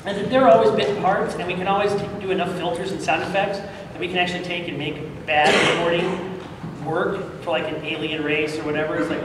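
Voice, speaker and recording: A man speaking informally as he explains things on a convention panel as an audio drama producer. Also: high voice. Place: large indoor convention room. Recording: very echoey hall, distant mic, noisy.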